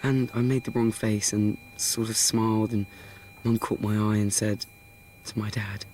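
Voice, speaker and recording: A man's voice: lowish voice, little pitch movement, a little slow, a little mellow, slight background noise, natural voice, poor audio. An actor playing an awkward, frank teenage boy in a radio play, with a blunt, confiding manner. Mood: deadpan, confiding. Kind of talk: radio play monologue and dialogue.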